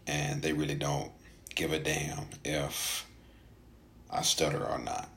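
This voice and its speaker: Very deep, gravelly man with a stutter. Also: fairly even intonation, fairly bright tone, slight background noise. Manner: halting, speaks in gaps with long hesitations. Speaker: podcast host. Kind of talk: casual personal monologue.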